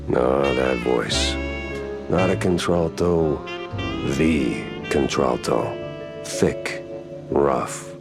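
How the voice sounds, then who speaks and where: An actor playing a weary, wisecracking hardboiled mouse detective in a noir video game, deadpan and world-weary. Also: male voice, a bass voice, gravelly, full character voice. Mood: wistful, brooding.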